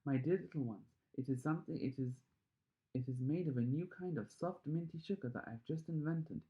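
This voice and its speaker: Storybook eccentric factory owner, male reader acting: proud, fussy, gushing, higher-pitched, slightly dull lo-fi sound. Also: a little fast, lightly acted. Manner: exclaiming, boastful, gushing.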